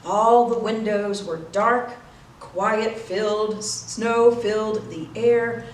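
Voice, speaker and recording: A woman reading a children's story aloud in an expressive, dramatic and playful way. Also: medium pitch, slow, a little hiss or hum. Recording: echoey room, distant mic.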